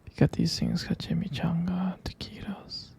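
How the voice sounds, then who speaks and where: Breathy, creaky ASMR whisperer playing a tender lover. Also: mid-range voice, wildly swooping pitch, moderate pace. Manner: soft whispering, hesitant, affectionate murmuring. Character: tender, doting vampire lover soothing a sweetheart. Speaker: ASMR roleplay performer. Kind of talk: intimate ASMR romantic roleplay.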